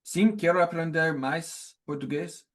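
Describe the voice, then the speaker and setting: A man, a language learner, answering interview questions hesitantly with pauses and fillers. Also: a little high, a bit bright.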